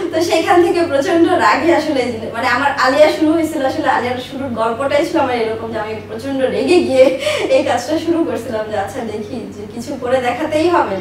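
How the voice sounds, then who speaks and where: A woman in her thirties telling personal stories as an interview guest, speaking emphatically and repeating herself for stress. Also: high voice. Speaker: interview guest, business owner. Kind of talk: personal life storytelling in an interview. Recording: echoey room, distant mic, faint noise.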